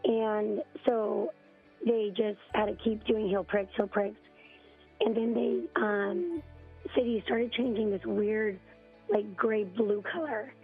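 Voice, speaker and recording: Hoarse woman telling her story on a phone line. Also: mid-range voice, soft background music. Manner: halting storytelling with many ums, clearing her throat. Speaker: parent on a radio call.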